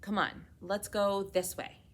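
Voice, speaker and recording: A woman's voice: mid-range voice, flat delivery, measured pace, pitched above the performer's own voice, lightly acted. A woman voicing a confident, friendly young superhero boy in a children's storybook, earnest and encouraging. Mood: reassuring.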